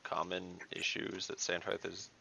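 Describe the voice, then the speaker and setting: A man playing an online tabletop game, talking casually and haltingly as he restarts his questions. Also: low-pitched, monotone, vocal fry, bright, forceful tone, slightly rough. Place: indoors, online voice call.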